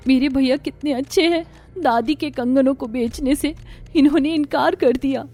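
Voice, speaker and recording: A woman's voice: sits high, normal speed, warm tone, faint music behind, pitched above the performer's own voice. A woman voicing a tender, earnest, loving young sister in a cartoon story. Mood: admiring, earnest. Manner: tender, sincere. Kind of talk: Hindi cartoon story acting.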